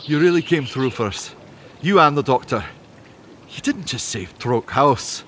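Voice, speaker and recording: An actor playing a devoted, earnest husband in an audio drama, halting and matey in his speech. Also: medium pitch, lively intonation, fairly bright tone, a little hiss or hum. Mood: grateful. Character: warm, devoted husband and manservant, shaken but grateful.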